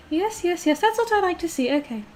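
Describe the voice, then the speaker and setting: An imperious British duchess played by a woman, with a very high, girlish pitch. She is pompous and condescending, handing out orders to her stream audience as if to servants, and leaning hard on words for emphasis. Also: slight background noise, heavily acted. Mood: gracious, condescending. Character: haughty, bossy aristocratic dominant girlfriend calling herself Duchess. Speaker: livestreamer playing a character for viewers. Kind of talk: in-character roleplay livestream, reading and answering chat.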